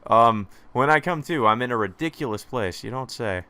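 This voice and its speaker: A man hosting a let's play, reading a visual novel's first-person text aloud in a measured, slightly hesitant way. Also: mid-range voice, lively intonation, sharp tone, smooth, no echo.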